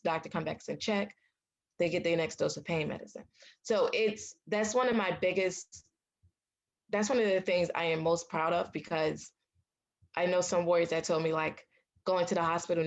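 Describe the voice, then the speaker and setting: A young woman from the United States, a patient advocate, telling her story in a casual interview, outspoken and animated. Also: low voice, vocal fry. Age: young adult. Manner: animated, acts out quoted dialogue; loud, outspoken.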